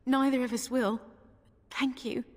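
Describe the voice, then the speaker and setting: A woman's voice: sits high, steady tone, measured pace. An actress playing a grieving, anxious young village woman in a fantasy game, earnest and plaintive, with reverb on the recording. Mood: sad, grateful.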